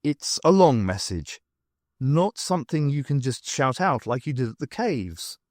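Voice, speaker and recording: A man voicing a brisk, clever adventurous woman who explains things in an audiobook. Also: medium pitch, very animated, a little mellow, dry recording, clean studio sound. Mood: questioning. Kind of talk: audiobook character voice.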